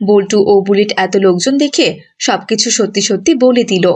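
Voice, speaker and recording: A woman's voice: medium pitch, normal speed, a little mellow, husky. A woman giving scripted narration for a children's moral story cartoon.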